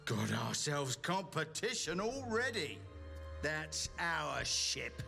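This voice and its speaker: A man playing a brash, belligerent small bandit leader in a video game, gruff and taunting as he gives orders. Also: on the high side, sing-song, slow pace, pushed and bright, soft background music, heavily acted. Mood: insistent. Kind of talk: video game character acting.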